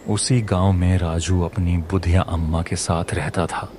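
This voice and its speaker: A man narrating a cartoon moral story in a measured storytelling manner. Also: deep voice, level tone, a little mellow, slight background noise.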